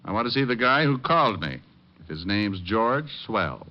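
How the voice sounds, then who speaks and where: An actor playing a sardonic American private detective in old-time radio drama, narrating and talking in a dry, flippant manner. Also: male voice, fairly low pitch, very animated, normal speed. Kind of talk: 1940s-50s radio detective narration and acting. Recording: dull old-time radio, music behind.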